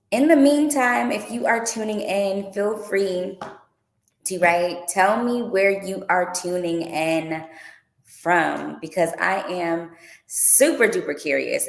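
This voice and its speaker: Chatty low-voiced woman, echoey room. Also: gravelly. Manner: chatty, upbeat, frequent fillers. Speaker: tour organizer and boutique owner. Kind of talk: casual livestream Q and A.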